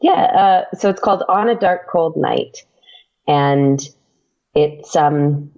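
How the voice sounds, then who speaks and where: A woman's voice: low-pitched, takes it slowly, gravelly, lo-fi sound. A woman speaking casually as an interview guest, thinking aloud in a hesitant way. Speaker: interview guest, writer and podcaster.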